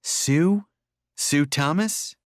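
A man's voice: a little high, extremely slow, no echo, studio quality. A man playing a casual, easygoing man in a scripted textbook dialogue. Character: casual, easygoing man making small talk.

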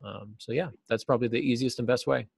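A middle-aged man giving conversational advice as an interview guest, often pausing mid-thought as he phrases things. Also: medium pitch, vocal fry, breathy edge, no room sound. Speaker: guest, novelist and podcast host. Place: small cluttered office, indoors.

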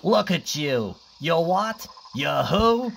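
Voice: mid-range voice, very animated, takes it slowly, a little hiss or hum, pitched above the performer's own voice, heavily acted. A reader doing mocking, jeering hyenas. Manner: mocking, sneering. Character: mean jeering pack of hyenas. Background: soft music.

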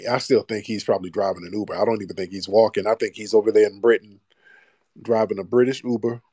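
A man's voice: lowish voice, fairly even intonation, fast, mellow, husky, no echo, studio quality. A man hosting a live call-in stream, teasing and mocking his callers in loose, rambling chat. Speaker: livestream host taking callers.

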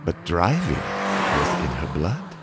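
Guy as burnt-out doomed driver dad telling his comic story, brooding, slow but expressive, music under. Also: deep voice, heavily acted. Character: world-weary, morbid fatalistic father, damned stunt driver. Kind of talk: scripted first-person dramatic narration.

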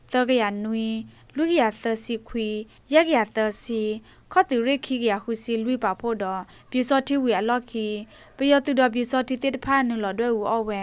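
A woman's voice: on the high side, clean tone, dry recording. A woman reading a scripted radio news bulletin.

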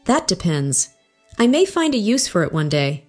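A man voicing a stern, coolly guarded woman who keeps a wine shop, curt and quietly menacing. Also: sits high, big pitch swings, moderate pace, soft background music, pitched above the performer's own voice.

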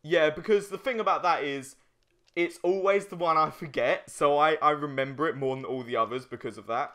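A man's voice: a little high, fairly quick, sharp tone. A young man doing casual let's play commentary with joking, sweary banter.

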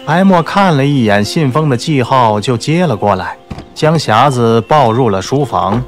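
A man narrating a novel as a scripted audiobook, in a measured, literary storytelling manner. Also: mid-range voice, lively intonation. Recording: soft background music under the voice.

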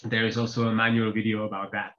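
A man's voice: fairly low pitch, level tone, fairly bright tone. Hesitant Czech man on a call. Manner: hesitant, many filler pauses. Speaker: developer presenting updates. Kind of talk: online team call presentation. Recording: slightly dull lo-fi call audio.

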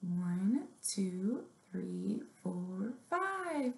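A young woman presents story time for little kids at an unhurried pace. Her voice has a noticeable creak at an ordinary female pitch. She is recorded on a webcam in a roomy, echoing space. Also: very animated, darkish tone. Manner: measured, deliberate read-aloud delivery with simple instructions. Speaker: children's librarian, story time host. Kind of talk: picture-book reading and finger plays for young children. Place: small room indoors at home or office. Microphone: webcam or laptop mic at arm's length.

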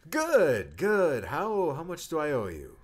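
A man's voice: a little high, wildly swooping pitch, slow, voice pushed up, heavily acted. A man voicing an impatient, bossy, scheming male adventurer in an audiobook. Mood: feigned friendliness.

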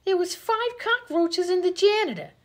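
A woman's voice: very high-pitched, sing-song, normal speed, heavily acted. A woman playing a dim, chatty 1920s vaudeville comedienne, slangy and easily indignant, in an old-time radio comedy sketch. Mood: naive, defensive. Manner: scatterbrained, slangy, literal-minded.

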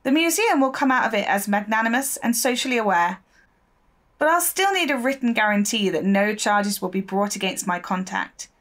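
An actress playing a persistent, shrewd newspaper reporter in an audio drama, speaking confidently and articulately. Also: female voice, mid-range voice, expressive, normal speed, fairly bright tone, close to an everyday voice. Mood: determined, pointed.

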